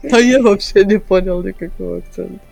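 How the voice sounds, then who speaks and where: A man's voice: sits high, fairly quick, vocal fry, darkish tone, faint music behind. A man chatting casually with other players over an online voice chat after a game, musing aloud and chuckling now and then.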